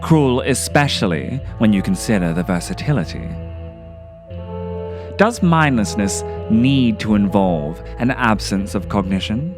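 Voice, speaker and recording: A man's voice: lowish voice, expressive, measured pace. A man narrating a scripted video essay in a contemplative, literary manner with theatrical phrasing. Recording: music behind the voice. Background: music.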